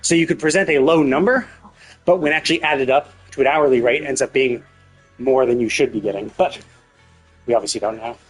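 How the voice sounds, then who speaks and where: A man streaming a game, casually rambling and thinking out loud as he commentates. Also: mid-range voice, a little fast, husky, faint music behind, poor audio. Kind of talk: casual live stream commentary, speculating aloud.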